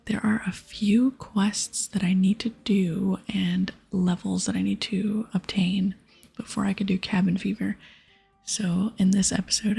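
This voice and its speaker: A woman host whispering softly and breathily as she gives gentle, chatty ASMR commentary on a video game. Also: medium pitch, vocal fry, breathy edge.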